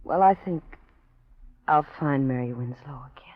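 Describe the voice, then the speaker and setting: A woman's voice: very deep voice, normal speed. An actress playing a world-weary, guarded young nightclub woman in a 1940s radio drama, speaking hesitantly and wistfully. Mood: wistful hope. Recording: dull 1940s radio recording.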